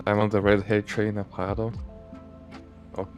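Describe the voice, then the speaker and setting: A man's voice: on the low side, flat delivery, normal speed, over music. A man on a stream call trading dry, joking banter. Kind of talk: casual group chat.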